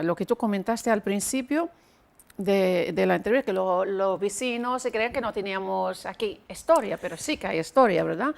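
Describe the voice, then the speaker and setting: A woman hosts a conversational television interview. Her voice sits a little high and has plenty of gravelly creak.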